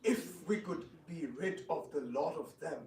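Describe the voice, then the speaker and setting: A man playing a sly, flattering, scheming visiting king in an amateur dramatic reading, with theatrical, drawn-out phrasing. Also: a little high. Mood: conspiratorial. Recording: echoey room, distant mic, faint noise.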